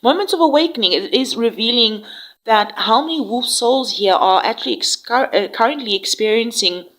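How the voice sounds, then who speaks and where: A woman's voice: fairly high pitch, expressive, normal speed, rough recording. A woman with a cold giving a soothing tarot reading, slightly nasal, with frequent fillers. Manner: soft, soothing spiritual address with frequent fillers. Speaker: tarot reader.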